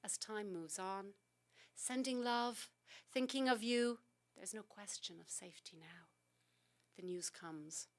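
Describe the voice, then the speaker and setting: A woman poet reading her poems aloud and explaining them, soft and halting between lines and more expressive in the verse. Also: medium pitch. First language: English. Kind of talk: poetry reading on a talk show.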